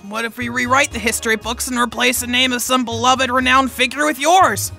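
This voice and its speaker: A high, sharp female voice, an actress as a slick alien spin doctor. She is flattering and schmoozy, in the manner of a sci-fi audio comedy. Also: a little fast, vocal fry, faint music behind. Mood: schmoozing. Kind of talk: comic sci-fi audio drama acting.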